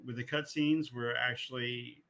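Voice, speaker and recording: A man's voice: medium pitch, steady tone, measured pace, creaky, bright, forceful tone. A man co-hosting a podcast in a casual, conversational style. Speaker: podcast co-host. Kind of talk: casual chat.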